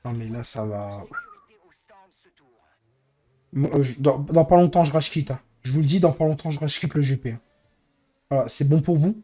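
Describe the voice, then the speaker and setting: A hoarse man who is losing his voice, grumbling in exasperation as he comments live on his racing game stream. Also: fairly high pitch, dark tone. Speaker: streamer and racing league head.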